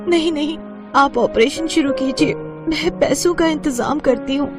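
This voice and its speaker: A woman's voice: high-pitched, measured pace, music in the background, pitched above the performer's own voice. A woman voicing a poor, proud young student who speaks earnestly in a cartoon story. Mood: anxious. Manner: earnest, pleading.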